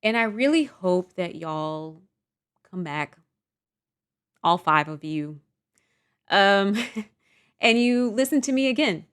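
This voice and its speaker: A young woman vlogger rambling softly and self-deprecatingly through a casual storytime monologue. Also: medium pitch, expressive, slow, long pauses, clean tone.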